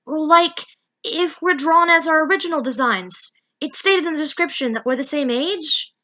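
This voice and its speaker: A woman's voice: high-pitched, normal speed, pushed and bright, voice pushed up. A woman voicing an indignant teenage female fox fairy in a story, with incredulous, whiny phrasing. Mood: protesting.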